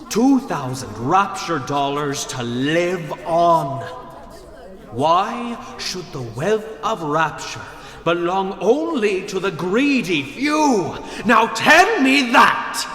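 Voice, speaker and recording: A fiery working-class rabble-rouser delivers a radio drama speech in a reverberant hall. His voice is bright and forceful, swinging widely as he throws out rhetorical questions to rally the poor. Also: fairly high pitch, heavily acted. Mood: impassioned, defiant. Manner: impassioned oratory, rhetorical questions. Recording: echoey hall with long reverb.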